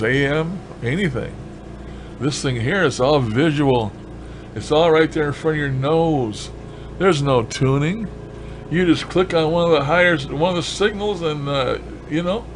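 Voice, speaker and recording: A man's voice: medium pitch, background noise. A man casually demonstrating a gadget as a hobbyist vlogger, talking in a folksy, colloquial and enthusiastic way. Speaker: ham radio hobbyist vlogger.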